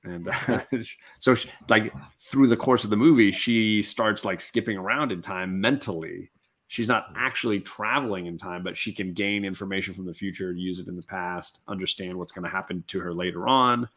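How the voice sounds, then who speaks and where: A man's voice: low-pitched, moderate pace, fairly bright tone. A middle-aged man in casual chat, explaining things like a film buff.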